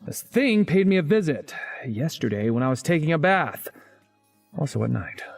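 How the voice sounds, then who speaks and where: Sardonic schoolboy in a visual novel, kinda grumbly, pitch moves around a lot, soft music behind. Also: male voice, very animated, normal speed, gravelly, heavily acted. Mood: annoyed. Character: wry, grumbling schoolboy narrating his own haunted life. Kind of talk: visual novel narration and dialogue.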